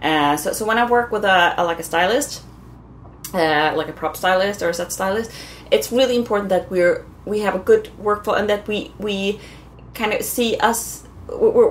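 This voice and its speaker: A woman's voice: mid-range voice, moderate pace. A woman from Sweden talks as a guest in an interview, searching for her words with plenty of restarts and fillers. Her voice sits mid-range for a woman. A faint hiss lies beneath the recording. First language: Swedish. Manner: hesitant, many restarts and fillers. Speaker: photographer, guest. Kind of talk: casual interview answers.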